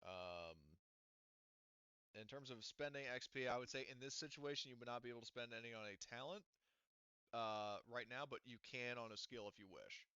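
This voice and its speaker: A man's voice: medium pitch, moderate pace, bright, forceful tone, clean tone, dry recording, lo-fi sound. A man acting as game master, talking loosely and swearing freely as he walks players through end-of-session rules. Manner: casual, swears freely, lots of fillers. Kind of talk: casual tabletop game talk, running the session.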